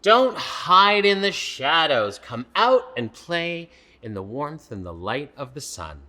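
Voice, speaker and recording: A man's voice: a little high, big pitch swings, slow, bright, forceful tone. A man co-hosting a children's storytime, reading aloud emphatically and addressing young listeners directly.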